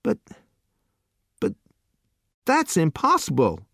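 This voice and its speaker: A man voicing a naive, eager seventeen-year-old boy who exclaims with wide-eyed excitement. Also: fairly high pitch, slow pace, no room sound, clean studio sound, pitched above the performer's own voice, full character voice. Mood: puzzled.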